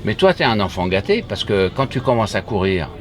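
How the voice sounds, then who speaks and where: A man's voice: fairly low pitch, sing-song, fairly quick. An older man interviewing a guest, articulate and building long explanatory sentences. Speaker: interviewer, motorsport journalist. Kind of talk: conversational interview. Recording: audible background noise.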